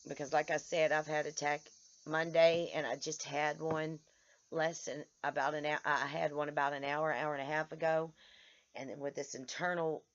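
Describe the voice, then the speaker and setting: Hoarse woman, vlogger, tired, halting delivery full of restarts; muffled home-video sound. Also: low voice. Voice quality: halting, many restarts and stammers. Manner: tired, speaks in short broken phrases. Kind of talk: casual vlog to followers. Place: outdoors in the sun.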